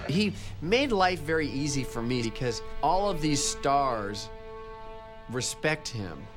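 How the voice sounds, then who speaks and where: A man's voice: medium pitch, very animated, slow, over music. A man, a film producer, giving a matter-of-fact interview about the director. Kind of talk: behind-the-scenes interview.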